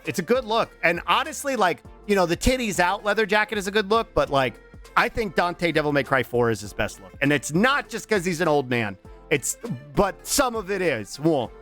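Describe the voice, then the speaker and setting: A game streamer in his thirties with a somewhat high, bright, animated voice that swings widely in pitch. He chats casually with viewers, fills the gaps with long runs of drawn-out ums and swears freely. His voice is strained and cracking from allergies. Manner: long strings of drawn-out filler ums, profane and joking. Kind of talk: casual chat reading out viewer messages.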